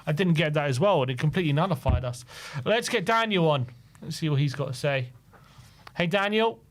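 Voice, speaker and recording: A man's voice: a little high, lively intonation, fairly quick. A man hosting a fan phone-in show, chatting casually with callers and asking loose, stumbling follow-up questions. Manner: easygoing, probing questions with stumbles and fillers. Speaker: host.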